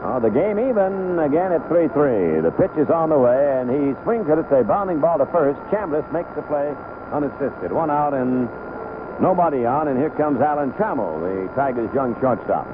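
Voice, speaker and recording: A man calling baseball play-by-play live on the radio in short, unhurried phrases. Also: a little high, expressive. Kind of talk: live radio sports commentary. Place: outdoor ballpark broadcast booth. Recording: tinny, noisy old AM radio broadcast.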